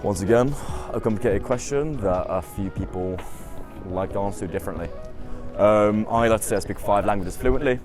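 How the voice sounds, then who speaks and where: A man's voice: on the low side, moderate pace, music in the background. A young man in his twenties, a students' union officer who grew up in the UK, answering interview questions in an upbeat, chatty way with lots of "I mean" and "um". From United Kingdom. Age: 20s.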